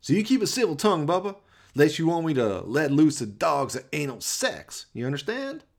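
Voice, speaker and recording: High, brash, sleazy gang boss. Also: male voice, sing-song, normal speed, creaky, heavily acted. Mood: mocking menace. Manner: mocking, swaggering, slangy threats and whoops. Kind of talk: comic radio play.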